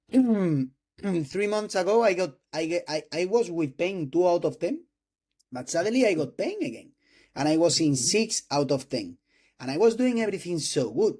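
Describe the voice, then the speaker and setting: A man in his twenties from Spain, speaking English with a Spanish accent as a non-native speaker, hosting an interview with halting restarts and frequent throat clearing. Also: sits high, lively intonation, a little mellow. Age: 20s. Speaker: host interviewing a doctor. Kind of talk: casual interview talk.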